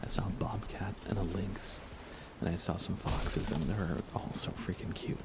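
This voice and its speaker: Soft-spoken male ASMR game streamer, deep and crackly, murmuring unhurriedly close to the mic over audible background noise. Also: fairly even intonation, creaky. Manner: gentle, unhurried, thinking aloud. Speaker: live streamer, host.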